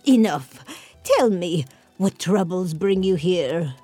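A sharp-tongued old grandmother, half-blind and stern, as a woman puts her on, scolds with a cackling edge. Her voice leaps up and down as she drags out each slow word. Faint music plays behind her in a dry, close recording. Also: lowish voice, lively intonation, no echo. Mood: scolding.

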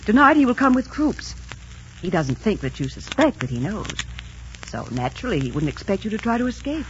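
A woman's voice: medium pitch, sing-song, fairly quick, lightly acted. An actress playing a proud, fiery, lovestruck young woman in melodramatic 1940s radio romance style. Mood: tender urgency. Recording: noisy, muffled 1940s radio recording.

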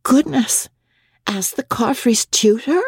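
A woman's voice: on the high side, takes it slowly, slightly rough, no room sound, clean studio sound, pitched above the performer's own voice, exaggerated voice. A woman voicing a young society wife, cool, snobbish and naive, in a dramatic audiobook reading. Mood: bewildered surprise. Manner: cool, genteel, dismissive.

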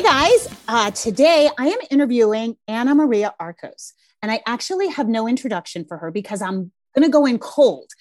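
A woman hosting a parenting podcast, chatty and frank, reading announcements and interviewing a guest. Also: fairly high pitch. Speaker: podcast host.

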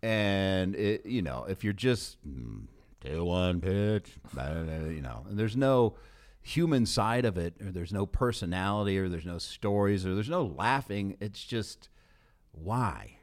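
An American man hosting a sports podcast in a casual, animated, conversational way. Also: low-pitched, a little slow. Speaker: podcast host, sports broadcaster. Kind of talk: casual podcast interview chat.

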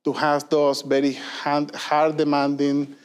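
A man's voice: a little high, completely flat delivery, a little slow, clean tone. A man, a guest answering interview questions earnestly, with many fillers and tag questions. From Venezuela. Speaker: guest, industry consulting leader.

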